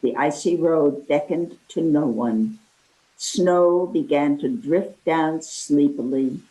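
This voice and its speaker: A woman reading a narrative poem aloud in a measured, deliberate way. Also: deep voice, warm tone, low-quality recording. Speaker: poetry reader.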